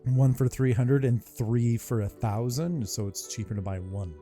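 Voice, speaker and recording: Very low, dark-voiced woman gamer. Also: normal speed, faint music behind. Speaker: gameplay streamer. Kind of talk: casual playthrough commentary.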